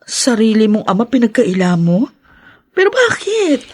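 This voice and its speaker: A woman's voice: on the high side, wildly swooping pitch, measured pace, husky, no echo, full character voice. A woman playing a concerned, probing young wife in a radio drama, earnest and questioning. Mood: shocked, incredulous. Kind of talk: Tagalog radio drama acting.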